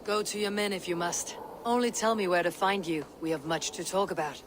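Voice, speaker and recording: Actress as low-voiced, blunt Norse warrior woman. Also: fairly quick, close to an everyday voice. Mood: dry, firm. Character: Norse woman warrior, blunt, confident, battle-hardened raider leader. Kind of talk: video game cutscene acting. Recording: noisy game ambience behind.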